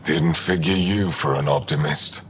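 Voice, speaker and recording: An actor playing a gruff, war-hardened alien resistance leader in a video game, terse, dry and cynical with a gravelly voice. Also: male voice, extremely low pitch, big pitch swings, a little slow, fairly bright tone, a little hiss or hum, full character voice. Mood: curt, dry. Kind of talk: video game dialogue acting.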